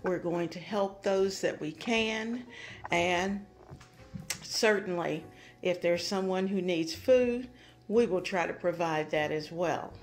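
Unhurried older woman vlogger. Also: on the low side, faint music behind. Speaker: host of a home decor vlog. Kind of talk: casual craft demonstration.

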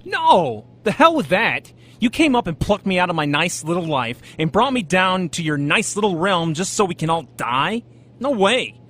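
A man's voice: high voice, very animated, moderate pace, sharp tone, heavily acted. An actor playing a blunt, flustered everyman dragged into a fantasy audio drama. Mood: angry defiance. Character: brash, exasperated everyman pulled into fantasy realm.

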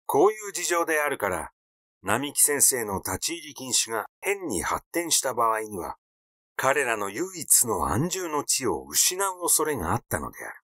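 A man reading literary fiction aloud as a narrator, in a measured storytelling delivery. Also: medium pitch, big pitch swings, sharp tone, no room sound, clean studio sound. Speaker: audiobook narrator.

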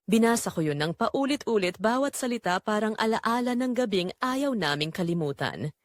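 Woman playing guilty middle-aged mother, confessional romantic drama, emotional storytelling, animated intonation, dry close sound. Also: mid-range voice, moderate pace, dry recording, lightly acted. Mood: guilty longing. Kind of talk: scripted first-person confessional narration, romantic audio drama.

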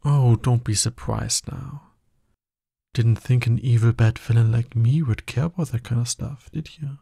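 A man's voice: medium pitch, fairly even intonation, a little slow, gravelly, mellow, husky, dry recording, clean studio sound. A man playing a smug, dominant villain in an ASMR roleplay, taunting his hero nemesis with drawling exasperation. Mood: scornful, wry.

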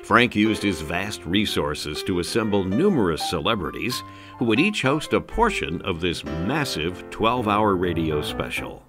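A man's voice: sits low, sing-song, unhurried. An American man reading scripted documentary narration. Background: guitar music.

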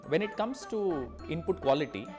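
A man from the faculty explains things in a steady explanatory way. His voice rings with a long reverb. Music plays clearly underneath. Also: fairly high pitch, moderate pace.

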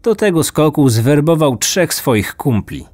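A man's voice: on the high side, big pitch swings, a little slow, darkish tone, no echo. A man narrating a scripted true-crime podcast as its host. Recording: music under the voice. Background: background music.

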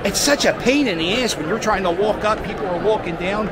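A man's voice: sits high, brisk. A man in his thirties vlogging from a crowded indoor baseball stadium, joking and heckling as he holds the camera at arm's length. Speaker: vlogger and sports fan. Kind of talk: casual handheld vlog. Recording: loud crowd noise.

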